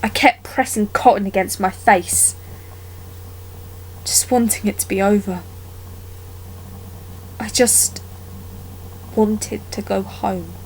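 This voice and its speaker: A woman performing a dramatic monologue as a wounded young woman haunted by a childhood attack, telling it haltingly with deliberate pauses. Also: medium pitch, a little hiss or hum, low-quality recording. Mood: pained, subdued.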